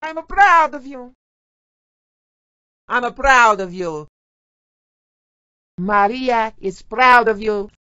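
A woman's voice: fairly high pitch, expressive, very slow, pauses often, pushed and bright, clean tone, no echo, full character voice. A woman playing a doting, teasing Italian-American mama, a theatrical and motherly video game character. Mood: proud, encouraging. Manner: theatrical, motherly, uses endearments. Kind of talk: video game character barks, exaggerated and exclamatory. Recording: slightly dull game audio clips.

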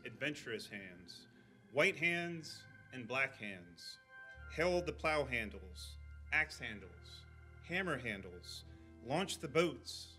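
Slow, bright-voiced man reciting poetry. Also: medium pitch. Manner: deliberate, emphatic. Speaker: community poetry reader. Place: indoor event room, at a podium. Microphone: podium microphone on a stand. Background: faint music.

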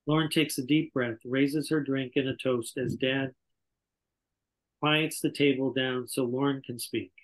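A man's voice: mid-range voice, steady tone, a little slow, rough recording. An older man reading scripted stage directions as narrator at a table read over a video call. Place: indoor room on a video call. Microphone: video call microphone.

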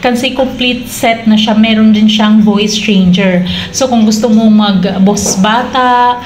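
A woman giving a casual online sales pitch in a small room. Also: steady tone, takes it slowly. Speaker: online seller promoting a product. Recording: roomy echo, clear background noise.

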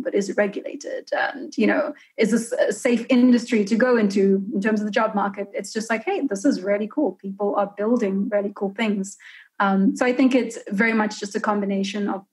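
A young South African woman in her twenties, talking freely as a guest in a relaxed interview. She is chatty and lively, laughing often, speaking into a desk mic in a room at home. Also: mid-range voice, normal speed, poor audio. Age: 20s. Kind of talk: casual interview talk. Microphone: studio mic on a desk stand.

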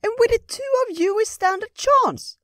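A woman voicing a small, excitable and devoted talking squirrel in a squeaky, gushing fairytale storybook voice. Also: normal speed, no room sound, clean studio sound. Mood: thrilled, hopeful.

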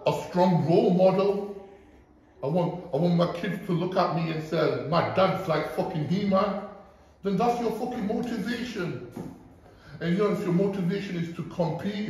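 A man's voice: fairly high pitch, a little mellow, a little hiss or hum. A man giving a blunt, profane, preachy motivational talk to a group at his gym. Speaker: gym owner giving a seminar. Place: large echoey gym indoors. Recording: echoey gym, distant mic.

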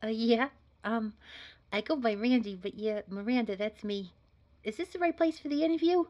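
A woman's voice: on the high side, moderate pace, darkish tone, dull audio, lightly acted. A woman playing a casual, unassuming job candidate in an audio roleplay, chatting informally and a little hesitantly. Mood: hesitant, friendly. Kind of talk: scripted audio roleplay drama.

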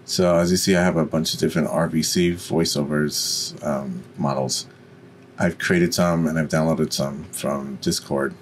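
Man, low deep voice, instructional, software walkthrough, faint background rumble. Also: steady tone, unhurried. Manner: instructional, step by step. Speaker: tutorial presenter. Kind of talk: software tutorial walkthrough, unscripted.

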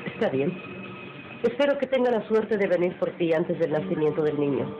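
Una actriz como señora noble, severa y altiva. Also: female voice, lowish voice, moderate pace, background noise, natural voice. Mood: cool, stern. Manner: formal, curt, commanding. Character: stern, aloof noble lady of the house. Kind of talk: old Spanish-language radio drama acting. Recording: muffled old radio drama recording, music behind.

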